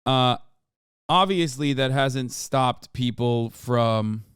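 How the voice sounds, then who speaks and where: A man's voice: medium pitch, slow, a bit bright, smooth, no echo, clean studio sound. A man whose first language is Turkish, hosting a casual live-stream news commentary with profane, sarcastic rants. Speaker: streamer host.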